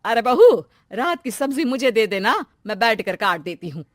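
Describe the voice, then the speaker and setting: A woman's voice: a little high, very animated, normal speed, dry recording, clean studio sound. A woman voicing a fond, fretful older mother-in-law in a family moral story, speaking emphatically. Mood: fond, fussy.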